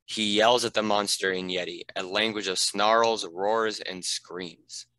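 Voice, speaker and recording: Deep, bright-voiced male narrator. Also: steady tone, unhurried, no echo, rough recording. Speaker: narrator reading stage directions. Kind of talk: scripted narration.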